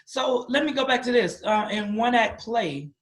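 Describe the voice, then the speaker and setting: A man's voice: extremely high pitch, animated, moderate pace, fairly bright tone. A man interviewing a guest about school activities in a casual, conversational way. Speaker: interviewer, school athletics host.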